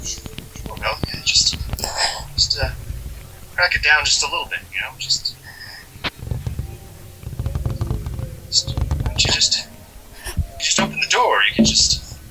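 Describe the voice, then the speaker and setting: An actor playing an alien thing posing as a dead husband, coaxing in a halting, folksy, rambling way that repeats itself, in a horror drama. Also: male voice, on the high side, lively intonation, gravelly, in a whisper, recorded from a distance, poor audio.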